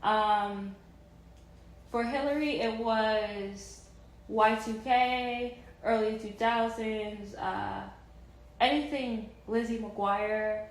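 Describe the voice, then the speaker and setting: Woman in her thirties, slow unhurried talk, rambling and gushing, echoey room. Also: mid-range voice. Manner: rambling, enthusiastic, many fillers and restarts. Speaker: vlogger. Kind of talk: casual vlog chat.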